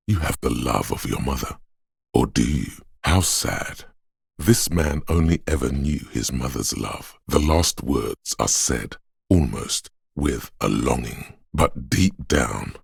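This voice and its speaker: A man voicing a tender, perceptive inner voice of empathy in a video game, speaking in a measured, intimate, dramatic way with a husky, gravelly tone. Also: rumbling low, no echo, studio quality, exaggerated voice. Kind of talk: scripted game narration, second-person commentary.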